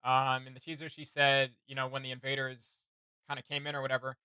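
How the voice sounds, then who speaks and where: A man's voice: medium pitch, monotone, a little fast, pushed and bright, clean tone, no echo. A young man hosting a casual reaction chat, enthusiastic and slangy. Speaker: reaction video host.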